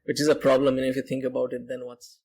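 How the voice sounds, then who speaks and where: A man on a podcast panel reflecting tentatively, with halting phrasing as he reasons. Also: mid-range voice, completely flat delivery, darkish tone, slightly rough. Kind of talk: reflective discussion.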